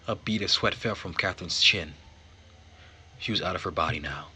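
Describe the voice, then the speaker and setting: A man reading a visual novel aloud as host and narrator, with measured, theatrical phrasing. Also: low voice, pushed and bright, slight background noise. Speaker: let's play host and narrator.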